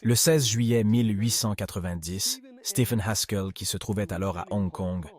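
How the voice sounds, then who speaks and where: Man, history voice-over, lowish pitch, measured reading. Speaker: dubbing voice for a history segment host. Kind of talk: scripted voice-over narration.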